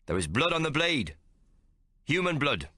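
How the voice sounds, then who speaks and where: A man's voice: fairly high pitch, very animated, measured pace, sharp tone, dry recording, clean studio sound, voice pushed up, heavily acted. A man voicing a menacing officer who coldly accuses the prisoners. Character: menacing male officer Rawlings, cold accuser.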